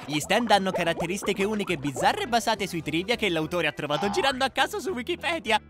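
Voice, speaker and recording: Animated, sarcastic comedic narrator. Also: mid-range voice, brisk, over music. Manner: sarcastic, emphatic.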